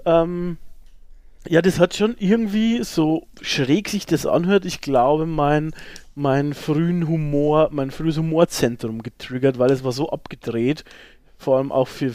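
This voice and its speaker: A man's voice: fairly high pitch, warm tone, no room sound. A man chatting casually and slangily as a podcast co-host. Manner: slangy, informal. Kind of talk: casual pop-culture chat.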